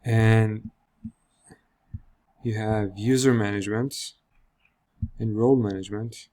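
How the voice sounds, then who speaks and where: A man with a Georgian accent, a native Georgian speaker, walking viewers through a web app in a screen-recorded demo, explaining with pauses and fillers. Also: fairly low pitch, steady tone, warm tone. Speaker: software developer demoing a product.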